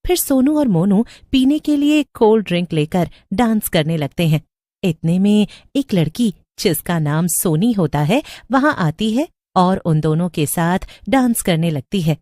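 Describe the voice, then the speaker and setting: A woman's voice: medium pitch, animated, unhurried, dark tone, dry recording, clean studio sound. A woman narrating a scripted horror story as the storyteller.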